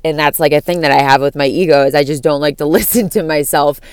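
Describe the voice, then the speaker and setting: A young woman from Florida with a deep voice that cracks at times, musing on a casual solo podcast in a rambling, profane way full of fillers. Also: fast, a little mellow, clean tone, no room sound. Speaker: podcast host.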